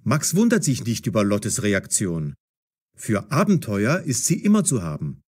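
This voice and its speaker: A man narrating a scripted story for language learners in a deliberate, expressive, clearly enunciated way. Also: lowish voice.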